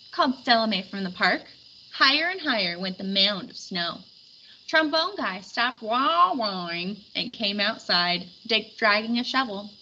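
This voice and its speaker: A woman reading a children's picture book aloud with expressive storytelling. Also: mid-range voice, muffled recording, slight background noise. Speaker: storybook reader.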